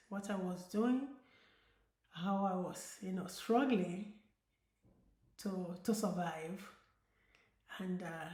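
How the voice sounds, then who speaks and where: A woman's voice: mid-range voice, darkish tone. A middle-aged woman with a Nigerian accent, a diabetes educator talking to her viewers in an earnest, encouraging way and repeating key words for emphasis. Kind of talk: casual vlog talk to viewers. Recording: roomy echo.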